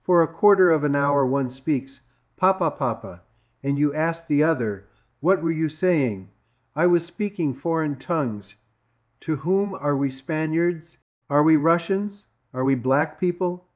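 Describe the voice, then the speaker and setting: A man preaching a sermon in an emphatic, repetitive, argumentative way. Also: on the high side. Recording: dull lo-fi recording.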